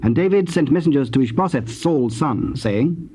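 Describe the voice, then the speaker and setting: A man narrating scripture from a script in a measured, formal storytelling manner. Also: medium pitch, lively intonation, dark tone. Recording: noisy old cassette tape transfer.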